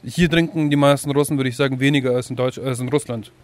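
A man's voice: mid-range voice, steady tone, fast, dry recording. A man with a medium voice gives blunt, matter-of-fact interview answers. The recording is dry and close, with no room sound.

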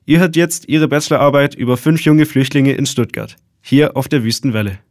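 A man presenting a radio program, reading a scripted introduction in a measured, clear announcing manner. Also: mid-range voice, a little mellow.

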